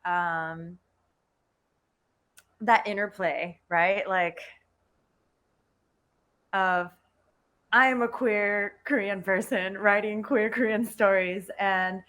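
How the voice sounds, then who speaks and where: Woman on a panel, talks real slow and halting, clear bright voice. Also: medium pitch, fairly even intonation. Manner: hesitant, halting. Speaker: panelist, writer. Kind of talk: casual panel discussion.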